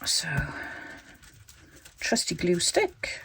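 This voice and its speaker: A woman with a croaky voice, thinking aloud as she demonstrates a casual craft tutorial. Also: drawn-out words, fairly bright tone, a little hiss or hum. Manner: chatty, thinks aloud while working. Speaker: crafter demonstrating junk journal covers.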